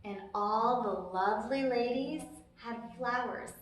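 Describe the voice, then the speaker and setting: A woman's voice: fairly high pitch, slow. A woman hosting a storytime, reading a children's book aloud expressively and explaining things simply for young listeners. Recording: very echoey, reverberant room.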